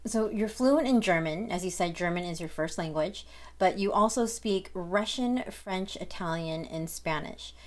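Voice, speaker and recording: A woman's voice: fairly low pitch, unhurried. A woman in her thirties hosting an entertainment TV show, asking questions from a studio set with bubbly enthusiasm. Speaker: host. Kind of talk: entertainment TV interview.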